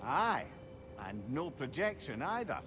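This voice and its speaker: An actor playing a clever, wry, loyal companion in a video game. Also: male voice, fairly high pitch, sing-song, unhurried, over music. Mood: knowing. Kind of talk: video game cutscene acting.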